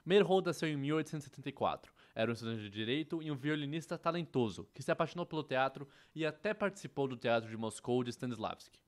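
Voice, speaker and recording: A man's voice: mid-range voice, animated, quick pace, hardly any pauses. Brazilian man narrating a lesson. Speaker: educational presenter dubbing a theatre history course. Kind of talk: scripted narration.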